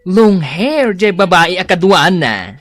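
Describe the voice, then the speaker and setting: A woman's voice: on the low side, sing-song, slow, dry recording, studio quality, heavily acted. A woman acting a gossipy but concerned friend in an Ilocano radio comedy drama. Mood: gossiping. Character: gossipy, concerned female friend bringing news.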